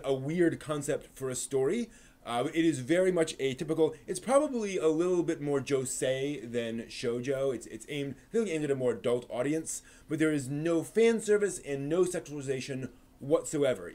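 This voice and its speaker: A man's voice: mid-range voice, a little mellow. A man hosting a live stream, giving a casual, off-the-cuff review and often rephrasing himself as he explains.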